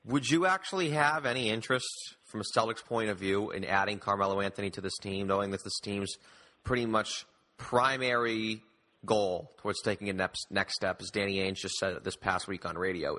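A man hosts a sports talk interview with wordy, opinionated questions. His voice is fairly low yet sharp and forceful, and he leans emphatically into his points. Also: normal speed. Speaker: sports podcast host.

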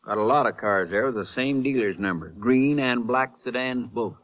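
A man's voice: mid-range voice, moderate pace, dull audio, lightly acted. An actor playing a plain-spoken, helpful American lawman in a 1950s radio drama, easygoing and folksy. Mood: matter-of-fact. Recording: vintage 1950s radio broadcast recording.

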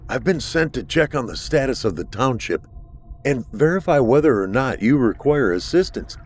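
A man voicing a nervous, polite male inspector who stammers and pleads, a little higher than his own voice. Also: big pitch swings, normal speed.

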